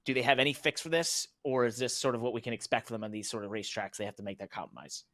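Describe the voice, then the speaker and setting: A man's voice: medium pitch, racing, fairly bright tone. A man hosting a casual live motorsport interview with informal, conversational questions. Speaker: host of a motorsport show.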